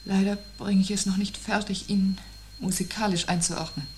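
An actress playing an uneasy but stoic woman facing the world's end in a radio drama, speaking in terse, measured phrases. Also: mid-range voice, level tone, darkish tone, lightly acted. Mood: stoic, resigned. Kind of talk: 1970s German radio drama acting. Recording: 1970s radio recording with faint hum.

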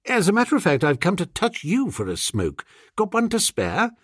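A man voicing an amiable, dim young upper-class English gentleman in an audiobook, hesitant and full of old-fashioned exclamations. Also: high voice, wildly swooping pitch, moderate pace, slightly rough, dry recording, studio quality, voice pushed up, exaggerated voice. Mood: eager.

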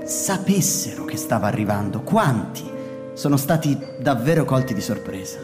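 An actor playing a weary, wise older male wanderer, who speaks in a slow, reflective and wry way. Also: medium pitch, big pitch swings, close to an everyday voice. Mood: musing. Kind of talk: radio drama acting. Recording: reverberant studio with music.

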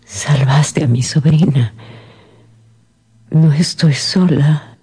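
Grieving old mother, radio drama, halting, breathy, mellow dark voice, drawn-out words. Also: low-pitched, full character voice. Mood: grieving, desolate. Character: grief-stricken elderly mother of a drowned son. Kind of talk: Spanish-language radio drama acting.

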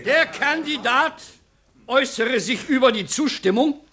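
Accusing heckler, combative, very high pitch, sharp tone, 1970s German radio play. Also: male voice, expressive, measured pace, exaggerated voice. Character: combative, accusing male heckler at a political meeting.

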